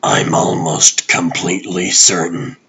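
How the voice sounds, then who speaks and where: A cool, unhinged comic-book vigilante, played by a man, speaking low and husky in clipped deadpan. He sounds terse and gruff, taking his time over short lines. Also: a little slow, exaggerated voice. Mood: terse, dry.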